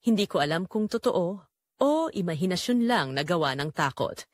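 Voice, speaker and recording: A woman's voice: on the low side, lively intonation, moderate pace, dry recording. A woman delivering emotive, confessional first-person narration for a scripted audio drama.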